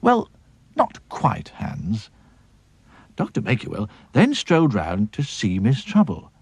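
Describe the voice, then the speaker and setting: A man narrating a children's story in a playful, expressive way. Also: medium pitch, slow pace, a little hiss or hum.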